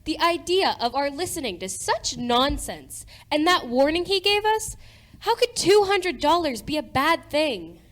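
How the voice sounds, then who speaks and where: A woman's voice: sits high, big pitch swings, a little slow. A woman playing a practical, skeptical wife and mother in an amateur radio play. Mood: dismissive. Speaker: high school theatre performer.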